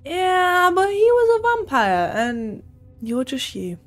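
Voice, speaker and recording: Young woman game streamer: casual, dryly playful, slow-paced, higher register, close desk mic. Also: very animated. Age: young adult. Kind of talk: casual gameplay commentary. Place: small room at home, indoors. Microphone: desk microphone, close, with headset. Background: game music.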